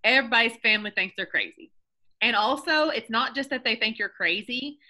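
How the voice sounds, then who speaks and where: Give me a woman leading a team video call with a bright, forceful voice. She is energetic and emphatic, laughs easily, and hammers her points home through repetition. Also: a little high, fairly quick. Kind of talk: motivational group call.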